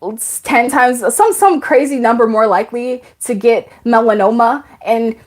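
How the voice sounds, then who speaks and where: Chatty twenty-something woman on a live stream, laughs a lot, reads comments out loud. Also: on the high side, normal speed. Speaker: live stream host reading viewer comments. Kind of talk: casual live stream chat.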